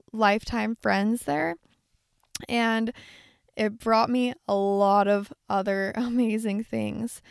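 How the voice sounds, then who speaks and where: A woman in her twenties hosting a podcast alone, chatting in an effusive, rambling way, her voice a bit raspy. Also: on the high side, no room sound, studio quality. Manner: chatty, effusive, lots of likes and little laughs. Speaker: podcast host. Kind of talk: casual solo podcast monologue.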